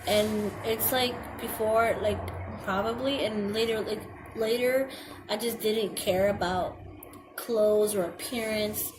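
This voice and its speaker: A young woman with a girlish voice reads a checklist aloud and comments on it hesitantly, stumbling over words and filling pauses. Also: medium pitch, steady tone. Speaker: vlogger. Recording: home video with clear background noise.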